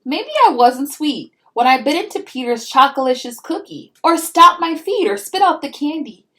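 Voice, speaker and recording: A woman's voice: high-pitched, lively intonation, normal speed, sharp tone, voice pushed up. A woman voicing a dramatic little girl who craves sweets and tells her own storybook tale with exaggerated emphasis. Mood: puzzled, rueful.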